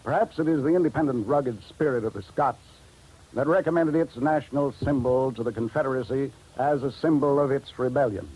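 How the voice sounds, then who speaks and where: A man reading formal scripted narration for an educational radio feature, measured and stately. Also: medium pitch, a little mellow, husky, rough recording.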